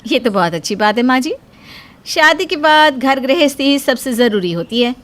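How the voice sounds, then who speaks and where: A woman's voice: sits high, lively intonation, moderate pace, a bit bright. A woman voicing a scheming, jealous young Indian housewife in a moral-story cartoon, with a pointed, self-justifying way of talking. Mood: smug, approving.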